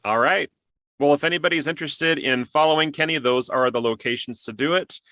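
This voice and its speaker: A man's voice: fairly high pitch, brisk, pushed and bright, no room sound, studio quality. A man hosting a casual podcast interview in an upbeat, effusive manner. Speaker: interview show host.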